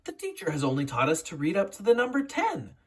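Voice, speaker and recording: Woman acting scornful, jealous young boy prince, husky. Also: fairly low pitch, wildly swooping pitch, fast, exaggerated voice. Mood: disapproving. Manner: scornful, indignant.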